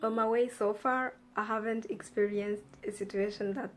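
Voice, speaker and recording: Even-toned Rwandan woman. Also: medium pitch, moderate pace. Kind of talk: personal testimony.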